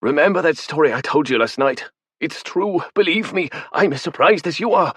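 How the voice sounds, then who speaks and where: A man voicing a frightened male academic, pleading in a shrill, near-screaming voice. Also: expressive, moderate pace, fairly bright tone, breathy edge, no echo, studio quality.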